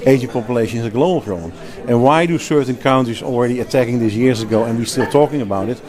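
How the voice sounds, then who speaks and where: A man's voice: mid-range voice, fast, a crowd in the background. A man from the Netherlands with a Dutch accent, a healthcare executive and clinician, talking emphatically in an interview, restarting phrases and laughing easily. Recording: interview at a crowded event.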